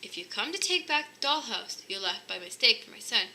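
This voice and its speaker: A woman voicing a huge, gruff and blunt father in a children's storybook. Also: a little high, sing-song, fairly quick, bright, forceful tone, a little hiss or hum, heavily acted. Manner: blunt, roaring. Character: huge gruff father defending his son.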